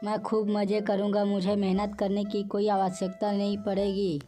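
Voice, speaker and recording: A woman's voice: medium pitch, completely flat delivery, normal speed, mellow, soft background music, natural voice. A woman voicing a fat, lazy male mouse thief in a cartoon moral story, defiant and boastful. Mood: gleeful, greedy. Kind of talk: Hindi animated moral story dialogue.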